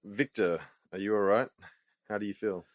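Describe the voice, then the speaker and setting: A man's voice: on the low side, normal speed, no room sound, lightly acted. An actor playing a supportive male colleague, earnest and insistent, in an audio drama. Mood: concerned. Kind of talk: fiction podcast drama acting.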